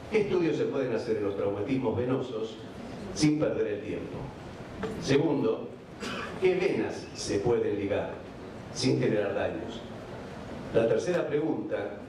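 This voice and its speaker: A man from Argentina with an Argentine accent, giving a measured medical conference lecture with frequent hesitation fillers. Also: medium pitch, recorded from a distance. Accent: Argentine Spanish. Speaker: vascular surgeon giving a conference talk. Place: large conference hall. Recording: echoey hall recording from afar, background noise.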